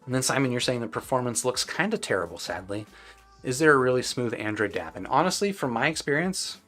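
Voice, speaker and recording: A man's voice: mid-range voice, fairly bright tone. A man hosting a casual live stream, answering viewers in a conversational, hedging and self-deprecating way. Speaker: host of a live-streamed audio gear review. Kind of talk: casual livestream chat, reading and answering viewer questions.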